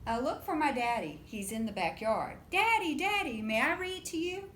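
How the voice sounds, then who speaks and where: A woman's voice: sits high, big pitch swings, moderate pace, a bit bright, voice pushed up. A woman voicing an eager young child who wants to read aloud, in a picture book read-aloud. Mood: pleading. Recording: roomy echo with background noise.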